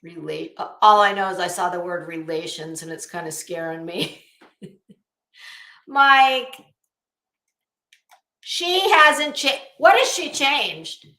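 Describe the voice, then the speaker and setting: A woman's voice: on the low side, expressive, slow pace, pauses often, sharp tone. A woman livestream host on a casual rant to her chat, blunt and coarse, with an emphatic, sarcastic, challenging delivery. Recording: echoey room.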